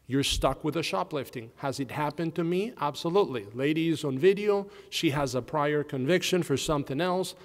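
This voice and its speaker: A man's voice: fairly high pitch, moderate pace, few pauses, big-room sound. A man with a noticeable non-Southern accent, a defense attorney speaking earnestly on a conference panel, posing questions and answering them himself. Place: law school event hall.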